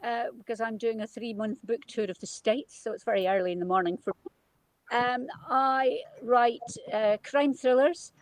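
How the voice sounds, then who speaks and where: Lively Scottish woman on a dry call. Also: on the high side, moderate pace, dry recording, low-quality recording. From Scotland. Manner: lively, self-deprecating. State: tired early in the morning. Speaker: crime writer guest. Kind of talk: panel discussion.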